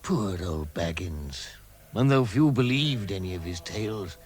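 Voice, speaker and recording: A man's voice: low voice, animated, measured pace. A man with an English accent giving scripted storybook narration in a vintage radio drama. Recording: vintage radio recording with music.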